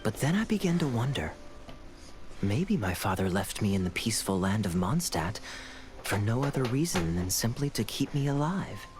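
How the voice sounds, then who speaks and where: Suave, breezy young male knight for an anime game: teasing, theatrical, lively pitch swings over faint music. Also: normal speed. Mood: musing, casual. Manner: smooth, playful, theatrical.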